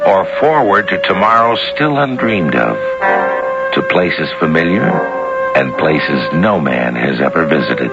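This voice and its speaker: A man narrating as the host of a 1970s radio drama, with measured, dramatic storytelling delivery. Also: low voice. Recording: dull vintage radio broadcast with music.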